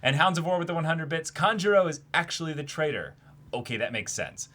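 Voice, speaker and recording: A man co-hosting a casual livestream, reading out viewer messages and thinking aloud in an animated, sometimes halting way. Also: on the high side. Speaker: livestream co-host.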